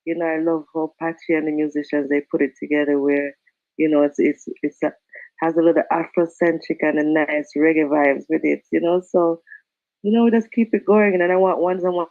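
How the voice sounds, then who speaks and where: A woman's voice: deep voice, no echo. A woman reggae singer, chatting as a guest and filling her talk with "you know". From Canada and Jamaica. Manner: warm, chatty, many "you know" fillers, Jamaican phrasing. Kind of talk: casual interview chat. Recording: dull lo-fi call audio.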